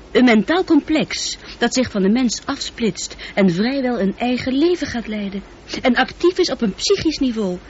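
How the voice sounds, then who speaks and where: A woman playing a calm, thoughtful female ship's computer with a precise, measured delivery and a touch of loneliness, in a radio drama. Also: fairly high pitch, natural voice. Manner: precise, factual, composed. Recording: dull, noisy old radio drama recording.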